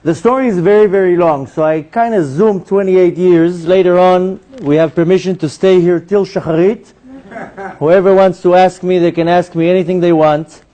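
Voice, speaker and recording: A man speaks to a gathered audience, telling his story with animated turns into acted-out dialogue. His voice sits high for a man, yet it sounds mellow and soft-edged rather than bright. He talks like a practiced storyteller holding a room. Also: normal speed, lo-fi sound. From Israel. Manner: animated storyteller who acts out dialogue in a higher voice. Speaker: speaker giving a talk. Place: synagogue hall.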